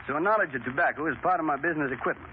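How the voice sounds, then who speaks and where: A man's voice: on the high side, rapid-fire, phone-quality sound. A man reading a scripted 1930s radio testimonial on a scratchy broadcast. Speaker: tobacco buyer giving testimonial.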